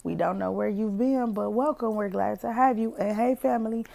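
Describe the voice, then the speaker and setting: A young woman hosting her own podcast while sick, her voice hoarse and partly gone, chatting folksily with listeners she calls family. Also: medium pitch, moderate pace, warm tone. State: partially lost voice from sickness. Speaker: podcast host. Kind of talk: casual motivational podcast talk.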